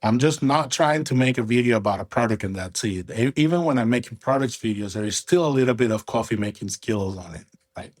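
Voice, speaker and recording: A man from Venezuela, a guest on an interview podcast, talking in a relaxed, rambling way and often tagging his sentences with "right?". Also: medium pitch, brisk, few pauses, dry recording, studio quality. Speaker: guest, coffee roaster and content creator. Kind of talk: casual interview talk.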